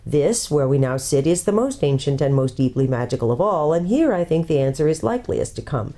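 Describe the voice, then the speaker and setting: A woman's voice: sits low, sing-song, mellow, full character voice. A woman voicing a learned old male doctor who speaks in a careful, scholarly way, in a storybook reading. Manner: measured, scholarly.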